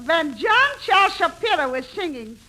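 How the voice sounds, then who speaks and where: A woman's voice: extremely high pitch, big pitch swings, moderate pace, heavily acted. A woman playing an opinionated, swooning housewife with mangled syntax and dramatic comic delivery in 1940s radio comedy. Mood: gushing. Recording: noisy 1940s radio broadcast, loud studio audience.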